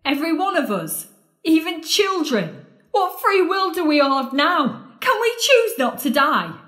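A woman's voice: extremely high pitch, big pitch swings, unhurried, fairly bright tone, exaggerated voice. An actress playing a bitter, scornful woman confronting her lost love in an audio drama, sardonic and accusing. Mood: angry, bitter. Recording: roomy, echoing space.

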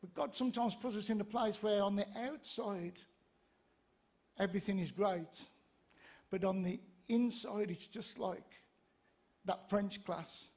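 High-pitched north-east English preacher man. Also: measured pace, long pauses. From Gateshead, north-east England. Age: 50s. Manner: animated, conversational storytelling with repetition for emphasis. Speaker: pastor. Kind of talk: sermon with humorous anecdotes. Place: church stage, indoors. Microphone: handheld microphone.

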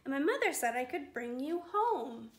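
A woman voicing an eager, sweet little girl in a storybook reading, high and excited. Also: normal speed, reverberant.

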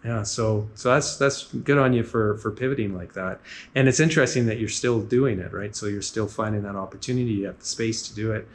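A man's voice: fairly low pitch, fairly quick. A man in his forties hosting a business show, summarizing points in an upbeat, talkative way and often adding "right?". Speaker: podcast host. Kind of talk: casual interview on a walking tour. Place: large indoor gym.

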